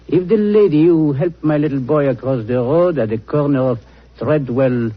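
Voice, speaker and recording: An actor playing an excitable, theatrical French gentleman with a French accent in a 1940s radio drama. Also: fairly high pitch. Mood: curious, amused. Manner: theatrical, hesitant, mercurial. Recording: muffled vintage radio recording.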